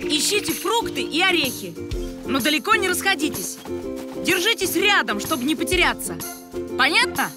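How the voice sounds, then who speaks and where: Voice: high voice, big pitch swings, moderate pace. A performer playing a responsible young animal who leads friends in a children's cartoon. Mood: instructing. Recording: loud music behind the voice.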